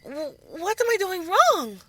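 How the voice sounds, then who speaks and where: A woman's voice: very high voice, sing-song, unhurried, a bit bright, no room sound, pitched above the performer's own voice, exaggerated voice. A woman voicing an earnest, hesitant younger sister, a village girl in an audio drama. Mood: anxious.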